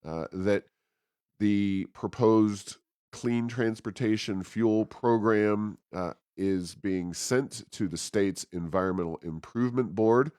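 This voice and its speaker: A man hosting a policy talk show, giving unscripted commentary in a measured, somewhat halting way. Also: on the low side, darkish tone, smooth, no echo, studio quality.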